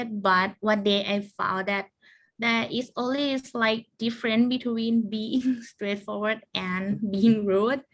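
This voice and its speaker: A young woman university student answering mock job interview questions in English, earnest and slightly halting, over a video call. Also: mid-range voice, fairly bright tone, rough recording. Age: young adult.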